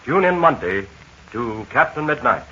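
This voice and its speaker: A man announcing a 1940s children's radio serial, pitching eagerly to young listeners in a muffled old transcription. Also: very animated, moderate pace, pushed and bright, husky.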